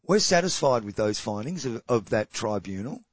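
A man's voice: lowish voice, sing-song, normal speed, no room sound, muffled recording. An Australian man co-hosting a casual podcast chat, blunt and sarcastic, often stumbling and restarting. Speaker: podcast co-host.

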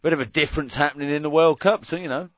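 A man's voice: fairly high pitch, rapid-fire, a bit bright, no room sound, highs cut off. A man co-hosting a hockey podcast, chatting casually with joking asides and frequent restarts. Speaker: podcast co-host. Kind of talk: casual sports chat.

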